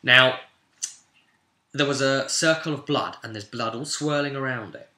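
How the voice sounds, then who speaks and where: A British man recapping a tabletop role-play session as game master in a chatty, cheeky, animated storytelling style, with casual slang. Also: mid-range voice, measured pace. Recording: roomy, echoing room sound.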